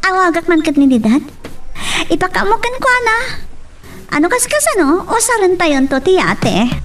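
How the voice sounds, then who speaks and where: A lovelorn young lady of means in a Filipino radio romance. Her voice sits very high and swings widely, with expressive, exclamatory Ilocano speech. She comes across as chatty and scheming. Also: normal speed, heavily acted. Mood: bright, probing. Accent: Ilocano, Philippines. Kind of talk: radio romance drama acting.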